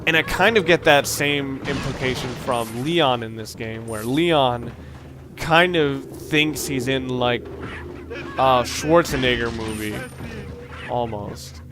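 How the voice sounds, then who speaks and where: A man giving casual, halting gameplay commentary as a let's play host, rambling and thinking aloud as he plays. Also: mid-range voice, noisy background.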